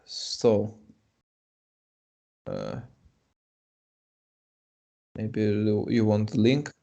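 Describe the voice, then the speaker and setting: A man's voice: fairly low pitch, wildly swooping pitch, drawn-out words, vocal fry, dark tone. A young man with a Russian accent, a native Russian speaker, doing live chess commentary in English, chatty and hesitant with many fillers.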